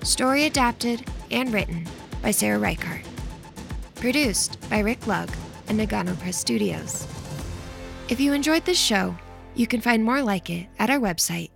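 Woman announcer doing credits, gravelly fry, medium pitch, music behind. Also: lively intonation, measured pace. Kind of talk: scripted credits read.

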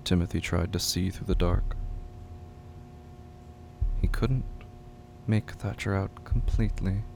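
A man narrating a scripted horror story in short, measured phrases with hushed suspense. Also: steady tone, gravelly. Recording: noisy track with low rumble.

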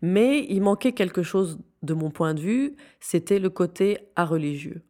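The lowish-voiced woman guest on an interview show, earnestly pressing her points home. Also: expressive, normal speed, warm tone. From Syria. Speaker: interview guest, founder of a youth non-profit. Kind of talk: conversational interview.

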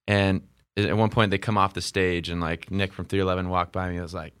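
Fast-talking low-voiced man telling stories. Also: little pitch movement, a bit bright, clear, resonant tone, no echo, studio quality. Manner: rambling, laid-back, lots of fillers. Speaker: podcast guest, touring musician. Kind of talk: casual storytelling.

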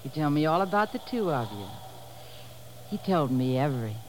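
Radio femme fatale, low voice, hugely varied intonation, coy, seductive, flattering, slightly noisy 1950s recording. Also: female voice, fairly quick. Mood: flattering, sly. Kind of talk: 1950s radio drama acting.

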